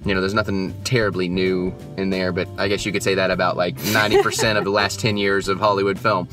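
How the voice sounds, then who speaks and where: A man's voice: sits low, level tone, quick pace, a bit bright, faint music behind. A young man giving a casual movie review just after the screening, rambling and hesitant with many fillers and restarts. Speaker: movie reviewer, channel host. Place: just back from the theater, at home.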